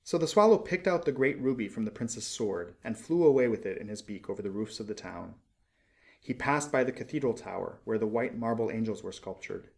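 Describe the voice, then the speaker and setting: A man reading a storybook aloud as scripted narration, in an expressive, measured storytelling manner. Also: mid-range voice, vocal fry. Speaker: audiobook reader.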